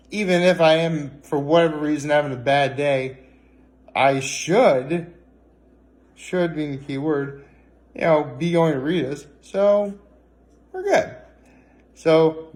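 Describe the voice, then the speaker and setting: Higher-voiced man rambling through a casual vlog. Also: darkish tone. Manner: rambling, halting, self-correcting. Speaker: vlogger. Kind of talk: casual solo vlog chat. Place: indoors at home, on a couch.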